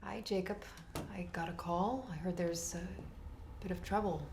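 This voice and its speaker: A woman playing a calm therapist in an audio horror drama, speaking in a measured, reassuring way. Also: lowish voice, noisy background. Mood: calm, clinical. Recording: faint music behind the voice.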